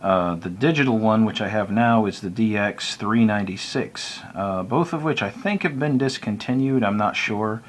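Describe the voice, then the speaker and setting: A man's voice: fairly low pitch, normal speed, few pauses, a little hiss or hum. American man explaining informally, in a hesitant way. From United States. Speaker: hobbyist video presenter. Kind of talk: informal unscripted tutorial explanation.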